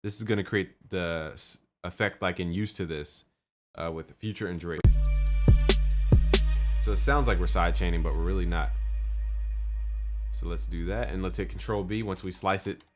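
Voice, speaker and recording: A young man with a very low voice, walking through a music production tutorial step by step. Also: fairly even intonation, normal speed, long pauses. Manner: step-by-step, instructive. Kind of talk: screen-recorded software tutorial.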